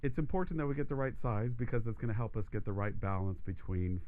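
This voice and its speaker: A man teaching a voice lesson, explaining steps calmly and steadily, his voice croaky from allergies. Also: fairly low pitch, quick pace, narrow, tinny audio. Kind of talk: instructional demonstration.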